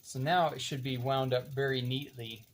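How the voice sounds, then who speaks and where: An older man giving a casual, unhurried hands-on demonstration, halting now and then as he thinks aloud while working. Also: medium pitch, steady tone. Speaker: demonstrator of reel-to-reel tape machines.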